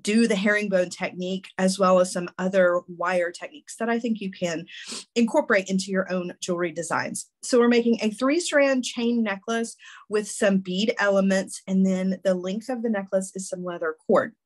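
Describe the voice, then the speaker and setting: A woman's voice: medium pitch, steady tone, moderate pace. Congested woman teaching a craft class. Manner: friendly and chatty, with frequent ums and restarts. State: congested, voice a little weak. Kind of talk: casual step-by-step instruction.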